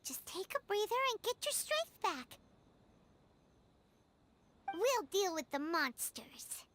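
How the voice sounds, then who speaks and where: A woman's voice: big pitch swings, takes it slowly, a bit bright, slight background noise, exaggerated voice. A woman voicing a small, chirpy, caring childlike fairy companion in a video game. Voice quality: squeaky, childlike.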